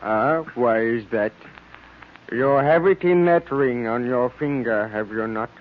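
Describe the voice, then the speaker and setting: Slow, formal cult emissary played by a man. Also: mid-range voice, natural voice. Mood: suspicious, pressing. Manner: stilted formal phrasing, deliberate. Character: formal, insistent male agent of an Egyptian cult. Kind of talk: 1940s radio acting. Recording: tinny narrowband old radio recording.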